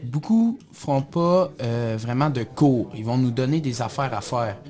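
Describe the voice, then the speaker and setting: Young male student guest, mid-pitched, Québécois accent, colloquial and explanatory. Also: lively intonation, unhurried, dark tone. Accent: Québécois French. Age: teenage secondary student. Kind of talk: casual chat.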